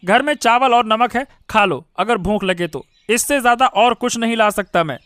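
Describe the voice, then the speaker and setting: Cartoon father, played by a man: bright, forceful, very high, brusque, crisp dry sound. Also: moderate pace, no echo. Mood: irritated, dismissive. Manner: brusque, dismissive. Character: irritable, poor village father. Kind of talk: Hindi moral-story cartoon dubbing.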